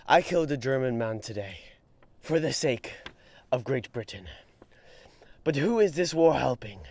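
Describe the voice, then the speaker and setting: A man's voice: mid-range voice, natural voice. A young man reading aloud as a grim, weary soldier writing a letter home from the trenches, in a solemn, dramatic voice. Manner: solemn, mournful, sometimes hushed.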